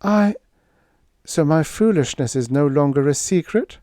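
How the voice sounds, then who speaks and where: Man voicing a high-pitched, wistful young princess. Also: expressive, a little slow, warm tone, no echo, studio quality. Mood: regretful. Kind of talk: audiobook character voice.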